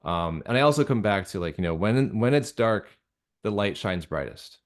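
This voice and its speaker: Earnest man with a lowish voice. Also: animated. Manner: earnest, halting, emphatic with repetition. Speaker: interview guest, radio drama creator. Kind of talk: earnest conversational interview about faith.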